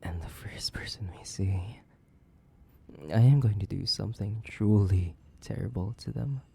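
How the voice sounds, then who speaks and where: Male actor as centuries-old sadistic vampire, lowish pitch, heavy vocal fry, menacing, theatrical, possessive, horror audio roleplay. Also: dark tone, a little hiss or hum. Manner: theatrical, slow, menacing, lapsing into breathy whispers. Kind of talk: dramatic horror roleplay audio, addressing the listener.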